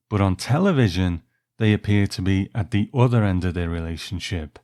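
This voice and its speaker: A man presenting scripted, analytical narration in a measured storytelling style. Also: lowish voice, warm tone. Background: music.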